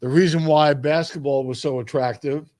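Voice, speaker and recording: An older man from New York reminiscing as an interview guest, speaking in a measured way with emphatic phrasing. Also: a little high, fairly even intonation, a little mellow, no echo. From New York, United States. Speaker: guest, sports public address announcer.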